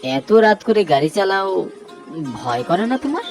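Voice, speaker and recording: A man's voice: high-pitched, a little slow, pitched above the performer's own voice, heavily acted. A man voicing a friendly, chatty, inquisitive rickshaw passenger in a cartoon story. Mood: friendly, curious. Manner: inquisitive, casual, expressive. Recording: prominent music bed under voice.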